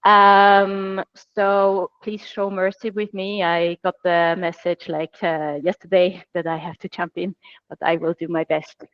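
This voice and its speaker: A woman's voice: on the low side, little pitch movement, measured pace, clean tone, no echo, lo-fi sound. Austrian woman presenting in a webinar. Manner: self-deprecating, friendly, explains step by step. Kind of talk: online presentation.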